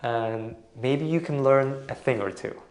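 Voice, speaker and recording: A man from Portugal calmly explaining video composition as a tutor, with some fillers and an encouraging tone. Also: medium pitch, measured pace. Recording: roomy echo.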